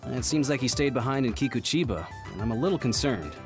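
An actor playing a composed, dutiful platoon officer in an anime game, measured and instructive. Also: male voice, medium pitch, animated, music in the background, close to an everyday voice. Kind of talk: anime video game dub acting.

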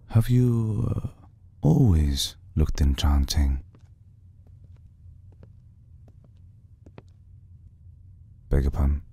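A man voicing an aloof, menacing vampire lord in an ASMR roleplay, speaking formally and deliberately in a brooding, husky, gravelly voice. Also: rumbling low, mellow, no echo, heavily acted. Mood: cool, aloof.

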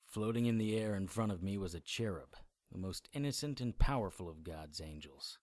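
A man playing a wry, defiant supernatural hero in a radio drama, with a husky voice and dry, noir-like storytelling. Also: low-pitched, animated, normal speed, darkish tone. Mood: grim, intense. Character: wry, defiant male demon hunter and hero. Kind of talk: radio drama acting and narration.

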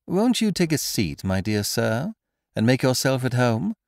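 A breezy, self-assured gentleman villain from a storybook, acted out by a man, pitched up and swooping wildly as he taunts with mock courtesy. The sound is close and dry. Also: sing-song, measured pace, vocal fry, dry recording. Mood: gleefully sarcastic. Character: smart hard-eyed intruder, mocking, breezy, self-assured gentleman villain. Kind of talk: audiobook character voice.

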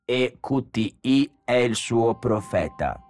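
A male narrator plays a robot prophet in an audiobook science fiction story. The delivery is slow, measured and preachy, calm and utterly sure of itself, with music in the recording. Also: mid-range voice. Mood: calm, solemn. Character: solemn robot prophet, calm, pious, unshakably self-assured.

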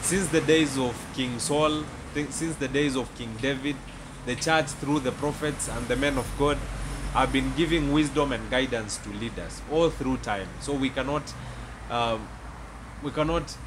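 A young man with a Kenyan accent, speaking formally and deliberately as an interviewer into a handheld microphone. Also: mid-range voice, background noise. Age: young adult. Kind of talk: measured, formal discussion introduction. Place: seated indoors in a small studio set. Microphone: handheld microphones close to the mouth.

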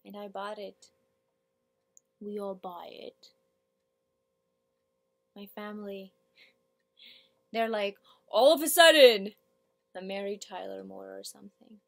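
A woman performing a theatrical monologue as a hurt, defiant, foul-mouthed young working-class woman, repeating herself haltingly as she builds. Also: medium pitch, gravelly. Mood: bitter sarcasm. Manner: halting and repetitive, building to outbursts, swearing.

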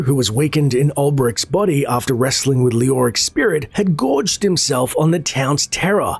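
A man with a slightly husky voice giving scripted narration for a game lore video. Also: on the high side.

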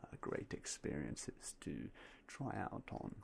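A young man softly whispering in a polite ASMR roleplay as a game store clerk, close to a binaural mic. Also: rumbling low, big pitch swings, takes it slowly, gravelly, a little hiss or hum. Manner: soft, polite, mostly unvoiced whispering.

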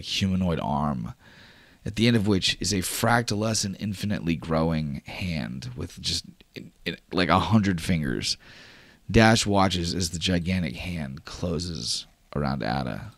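A man with a very low voice narrates a tabletop game as its game master. He paints vivid scenes and leans on casual fillers as he goes. The recording is dry and close. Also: lively intonation, unhurried, a bit bright, no echo.

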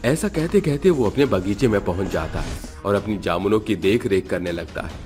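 A man's voice: fairly low pitch, animated, moderate pace, a little mellow, soft background music. A man narrating a scripted cartoon story.

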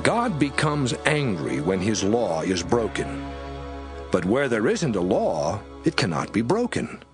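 Expressive male scripture narrator. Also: on the low side. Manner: emphatic, measured, rhetorical delivery. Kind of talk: dramatic scripted scripture reading. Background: music under the voice.

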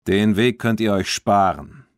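A man's voice: lowish voice, measured pace, dry recording, natural voice. Guy doing a stern old grandpa for a kids audiobook, curt, bossy, angry, dry sound. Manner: curt, commanding.

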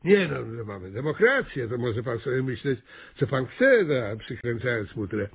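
An actor playing a pompous, flustered small-town mayor in a comic radio play, officious and hesitant in manner. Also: male voice, mid-range voice, sing-song, normal speed, slightly rough. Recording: thin, phone-like radio play recording.

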